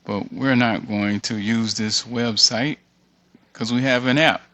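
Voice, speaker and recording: A man's voice: medium pitch, steady tone, unhurried, bright, forceful tone. A man giving an informal screen-share walkthrough, thinking aloud and muttering as he reads text off the screen. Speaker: tutorial creator.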